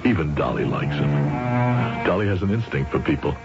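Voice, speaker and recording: A man's voice: very deep voice, lively intonation, brisk. An actor playing an anxious, hesitant middle-aged salesman in an old-time radio drama. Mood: brooding. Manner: hesitant, deferential with his boss. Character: anxious middle-aged salesman, deferential, uneasy, haunted by memory. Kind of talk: old-time radio drama acting, with narrated inner thoughts. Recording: dull old radio recording, music behind.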